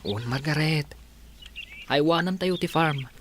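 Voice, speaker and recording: A man's voice: fairly high pitch, unhurried, background noise, lightly acted. An actor playing an earnest, sympathetic farm man in mourning in an Ilocano radio drama, with an Ilocano accent. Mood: sympathetic, sombre.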